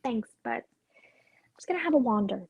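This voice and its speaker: A woman's voice: on the high side, animated, normal speed, husky, muffled recording. A woman acting a guarded, curt character bottling up her feelings in a dramatic script reading. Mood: guarded, distracted. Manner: clipped, evasive.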